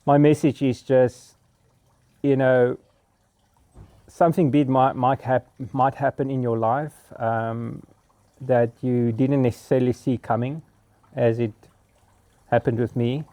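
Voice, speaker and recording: A South African man in his fifties, Afrikaans his first language and clear in his accent, talks to camera in a sincere, halting way with many pauses. His voice is mellow and warm. The sound is close and dry, with no room echo. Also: mid-range voice, flat delivery. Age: 50s. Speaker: vlogger. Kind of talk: reflective personal monologue.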